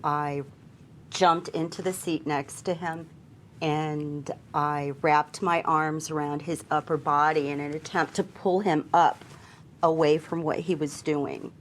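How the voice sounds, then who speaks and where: A woman, a flight attendant, giving a measured and deliberate account in a documentary interview. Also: deep voice, a little hiss or hum.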